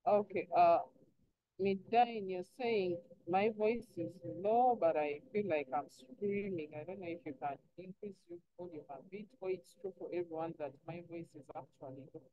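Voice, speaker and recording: A woman's voice: fairly low pitch, moderate pace, no room sound. A woman teacher explaining step by step in an online lesson, instructive, often posing prompting questions and answering them herself. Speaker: chemistry teacher. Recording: dull lo-fi online class audio.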